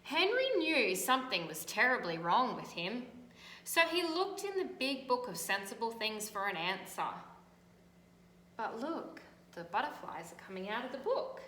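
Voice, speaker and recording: An Australian woman teacher reading a picture book aloud and gently pointing out details in the pictures. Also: on the high side, big pitch swings, moderate pace. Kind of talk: storybook read-aloud with commentary on pictures. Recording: echoey room, faint background noise.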